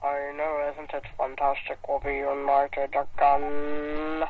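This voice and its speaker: A woman voicing a threatening male ex-boyfriend who calls in to a pretend radio show, speaking low and slow. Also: monotone, narrow, tinny audio, very noisy. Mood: gloating. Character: menacing male ex-boyfriend stalker caller.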